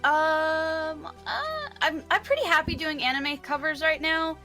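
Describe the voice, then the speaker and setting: A woman's voice: high voice, unhurried, pushed and bright, faint music behind. A woman being interviewed as a singer, talking in an informal, giggly way. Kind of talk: casual interview chat.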